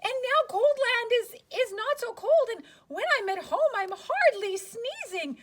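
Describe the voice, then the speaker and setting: A woman voicing a shivering, friendly little storybook character who talks haltingly through sneezy breaths. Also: very high-pitched, big pitch swings, fairly bright tone, heavily acted. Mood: sneezy, chatty.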